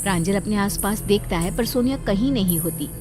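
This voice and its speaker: A woman's voice: mid-range voice, brisk. Female horror story narrator, mid-range voice, scripted storytelling, prominent music underneath.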